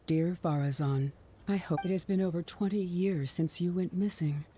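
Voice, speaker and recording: A dying parent, acted by a woman reads a farewell letter in a game scene. The voice is very mellow, soft and tender, with solemn care. Faint ambience sits behind it. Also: on the low side, moderate pace, a little hiss or hum, natural voice. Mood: tender, hopeful. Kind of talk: dramatic video game letter narration.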